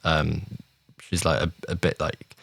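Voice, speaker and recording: A man's voice: extremely low pitch, completely flat delivery, a little slow, creaky, fairly bright tone, no echo. A man co-hosting a casual review podcast, speaking hesitantly as he searches for words.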